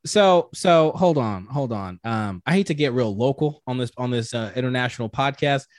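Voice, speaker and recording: A man's voice: mid-range voice, lively intonation, normal speed, no room sound, studio quality. A young man from Tanzania, a podcast guest, telling stories in a laid-back, slangy way. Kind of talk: casual chat.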